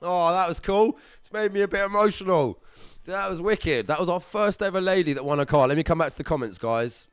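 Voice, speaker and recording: A man's voice: high-pitched, brisk, few pauses, clear, resonant tone, no room sound, faint music behind. A man hosting a live prize draw in upbeat, chatty, slangy casual talk. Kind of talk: casual live-stream chat.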